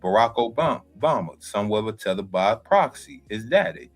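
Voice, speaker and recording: Low-voiced slangy co-host man. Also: expressive, brisk, faint music behind. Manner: slangy, trailing fillers. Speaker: co-host, novice comedian. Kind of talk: casual talk-show chat.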